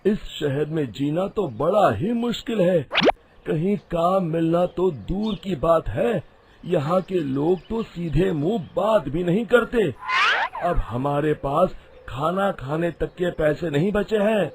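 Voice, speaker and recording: A man voicing a worried, meek village husband in an animated moral story, plaintive and hesitant. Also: on the high side, takes it slowly, very noisy. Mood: despairing.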